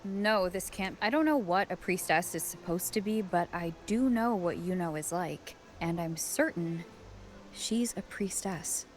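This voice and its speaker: A woman's voice: lowish voice, a little slow, a little hiss or hum, close to an everyday voice. A woman playing an earnest, determined young warrior heroine in a video game, speaking insistently. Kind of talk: scripted video game dialogue.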